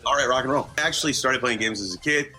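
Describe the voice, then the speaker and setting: A man's voice: mid-range voice, quick pace, bright, forceful tone, soft background music. A middle-aged man giving earnest, emphatic interview answers as a guest voice actor, feeling out his phrases.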